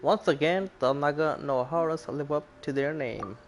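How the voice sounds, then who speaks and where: A man's voice: fairly high pitch, moderate pace, a little hiss or hum. A man streaming a video game, reading out dialogue and commenting as he plays.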